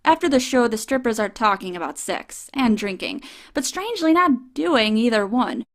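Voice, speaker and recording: A woman's voice: a little high, animated, moderate pace. Sardonic woman film reviewer. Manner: sardonic, swears casually.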